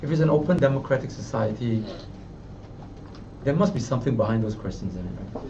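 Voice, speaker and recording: Brief: man, pointed press-conference chair, far mic, loud noise. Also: mid-range voice, expressive, fairly quick, highs cut off.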